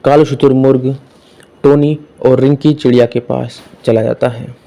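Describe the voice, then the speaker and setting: A male narrator with a soft, dark timbre and a middling pitch. He reads a children's tale as scripted storytelling. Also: steady tone, normal speed, creaky.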